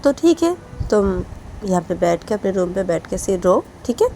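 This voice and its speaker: Scolding mom, loud, nagging, mellow tone, woman doing voice, faint hiss behind. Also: fairly low pitch, a little fast, creaky. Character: scolding middle-aged mother.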